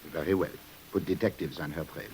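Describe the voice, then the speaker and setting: An actor playing a shrewd, suspicious French police commissioner in an old radio drama, formal, courteous and probing, with an air of authority. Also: male voice, rumbling low, fairly even intonation, fairly quick, a little mellow, poor audio. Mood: suspicious, decisive. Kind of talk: 1950s radio drama acting. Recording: hissy old radio recording.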